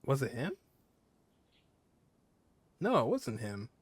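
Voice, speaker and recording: Male gaming streamer, mid-pitched, casual, thinking out loud during play. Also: wildly swooping pitch, slow pace, breathy edge, no echo. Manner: musing aloud to himself. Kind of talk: casual let's-play commentary.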